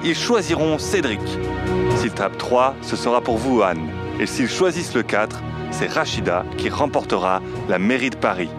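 A man's voice: medium pitch, lively intonation, normal speed, music in the background, natural voice. A man playing a solemn, suspense-building reality show host in a parody audio fiction, announcing in a grandiose, dramatic way. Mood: grave, theatrical.

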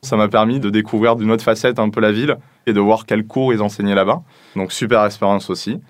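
A man's voice: fairly low pitch, fairly even intonation, a little fast, dry recording, clean studio sound. A man, talking informally about himself in an interview. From southern France. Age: 20s. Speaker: business school student interviewee.

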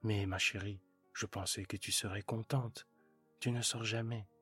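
A man's voice: fairly low pitch, fairly even intonation, normal speed, a bit bright, husky, faint music behind. A man voicing an anxious, eager husband who is a modest clerk, talking in a raised, pleading way.

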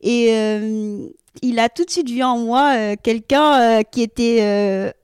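A young woman from French Guiana with a fairly high, smooth and resonant voice. She answers interview questions in an unhurried, hesitant way, full of small fillers, and laughs easily. The recording is dry and close. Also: fairly even intonation, a little mellow, no room sound. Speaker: guest athlete.

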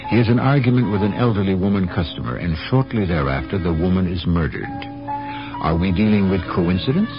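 An actor as the wry, ominous host of a radio mystery, narrating in a deliberate, theatrical way. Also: male voice, low-pitched, expressive, music in the background. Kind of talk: dramatic scripted radio narration. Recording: dull old radio broadcast.

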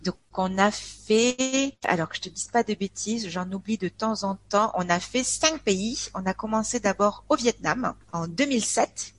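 A woman's voice: medium pitch, a little slow, a little hiss or hum. Woman, 40, from France; hesitant, casual guest chatter. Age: 40s. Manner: hesitant, with many filler sounds and restarts. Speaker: podcast guest. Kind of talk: casual interview chat.

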